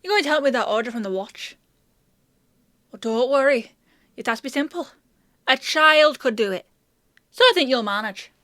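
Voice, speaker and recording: A woman's voice: high voice, expressive, moderate pace, pauses often, pushed and bright, dry recording, studio quality. A woman playing a bossy, teasing female apothecary in a fantasy audio roleplay, with a chatty, sardonic, playfully scolding manner. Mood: brisk, bossy.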